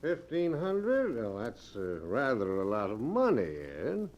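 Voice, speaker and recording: Evasive rich friend, swooping intonation. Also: male voice, medium pitch, wildly swooping pitch, measured pace, darkish tone. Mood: reluctant. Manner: smooth excuses, hemming and hedging. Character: evasive, well-off old friend refusing a loan. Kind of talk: 1940s radio drama acting. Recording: dull old radio recording.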